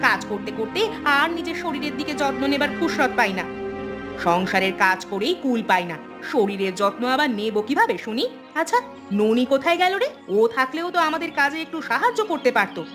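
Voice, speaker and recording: A woman voicing a bickering sister-in-law in a village family cartoon, scolding and complaining. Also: high voice. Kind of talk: cartoon family drama dialogue.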